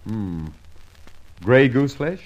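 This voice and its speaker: An actor playing a brisk, businesslike Scotland Yard inspector in an old-time radio drama. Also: male voice, medium pitch, expressive, lo-fi sound. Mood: genial, probing.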